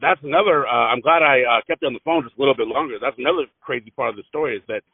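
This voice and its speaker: Gravelly man interviewing over the phone. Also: medium pitch, rapid-fire, no room sound. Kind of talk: casual phone questioning. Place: telephone call. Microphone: phone line. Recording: narrow muffled phone-line audio.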